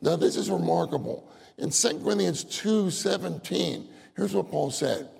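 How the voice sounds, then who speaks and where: Older male preacher, high gravelly husky voice, folksy storyteller, echoing hall. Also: lively intonation, moderate pace, darkish tone. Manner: folksy storytelling, laughs often, acts out voices. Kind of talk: sermon. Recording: long hall reverb.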